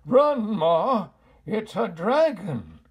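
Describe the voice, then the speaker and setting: Man as old country farmer, storybook, folksy, excitable, lively swooping pitch, husky. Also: drawn-out words, full character voice. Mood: alarmed.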